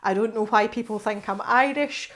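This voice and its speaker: A woman's voice: mid-range voice, normal speed, fairly bright tone. A woman from the west coast of Scotland with a Scottish accent, chatting casually to camera as she answers viewer questions, laughing often and restarting her sentences. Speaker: art channel host.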